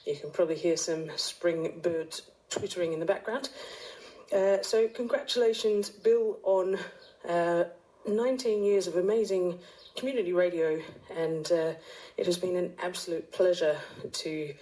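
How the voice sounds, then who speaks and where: A woman's voice: lowish voice, steady tone, a little slow, mellow, poor audio. A woman musician giving a personal recorded message of congratulations and good wishes. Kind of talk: recorded well-wishing message.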